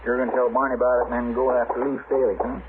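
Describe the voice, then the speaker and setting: Man playing folksy frontier deputy, mid-pitched, chatty, eager, old radio drama, heavy static. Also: flat delivery, racing, phone-quality sound, lightly acted. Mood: curious. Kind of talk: 1950s radio western acting. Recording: thin, very noisy old radio broadcast.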